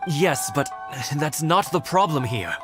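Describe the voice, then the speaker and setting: A man's voice: on the high side, big pitch swings, a little slow, over music. A man playing a wary guard in a video game, formally respectful but doubtful. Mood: hesitant, objecting. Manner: formal, hesitant objections.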